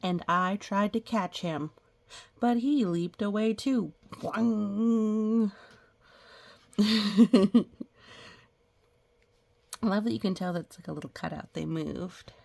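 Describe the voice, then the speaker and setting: A woman reading a children's picture book aloud, with playful sound effects and casual, gentle explanatory asides. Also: medium pitch, slow, gravelly, long pauses. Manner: explains gently to young listeners.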